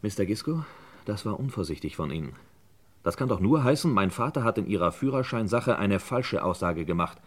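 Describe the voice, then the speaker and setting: A man acting a determined, accusing figure in a radio crime drama, measured, formal and probing. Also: fairly low pitch, expressive. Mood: accusing, insistent. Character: determined, accusing son seeking truth, controlled and probing.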